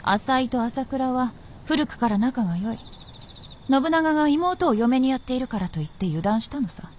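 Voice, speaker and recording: A woman's voice: high voice, lively intonation, normal speed, darkish tone, a little hiss or hum. A woman playing a shrewd, blunt princess with knowing, masculine phrasing in a period radio drama.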